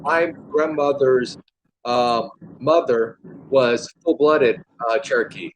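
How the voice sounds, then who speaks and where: A man's voice: medium pitch, slight background noise, rough recording. A man in casual live-stream chat, easygoing and agreeable. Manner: easygoing, agreeable, slow drawl at times.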